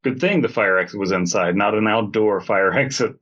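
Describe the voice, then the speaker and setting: A man's voice: fairly low pitch, a little fast, a bit bright, muffled recording. A man hosting a comedy podcast, riffing casually and reading fan fiction aloud with joking asides. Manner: riffing, mock-advertisement asides. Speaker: podcast host.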